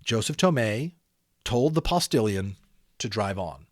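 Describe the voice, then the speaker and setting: A man's voice: on the low side, expressive, unhurried, no room sound, clean studio sound. A man narrating a children's audiobook expressively, with dramatic emphasis and chatty asides to listeners.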